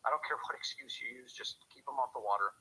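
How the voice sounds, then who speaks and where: An actor playing a steady, commanding police officer on night radio duty, speaking in clipped procedure in a radio drama. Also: male voice, medium pitch, fast talker. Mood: firm, urgent. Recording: hissy police radio or telephone line.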